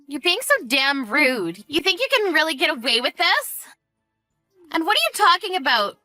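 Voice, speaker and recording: A woman voicing a spiteful, greedy sister-in-law with a shrill, whiny manner in a narrated family drama. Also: sing-song, moderate pace, sharp tone, dry recording, soft background music, heavily acted. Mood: indignant, alarmed. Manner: shrill, indignant, pleading.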